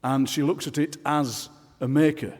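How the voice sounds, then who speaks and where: A man's voice: on the high side, steady tone, moderate pace, a little mellow. A man introducing a poet at a live event, speaking rhetorically with emphatic repetition. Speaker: poetry event presenter. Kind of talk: spoken introduction to a live audience. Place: large concert hall stage. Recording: long hall reverb on stage.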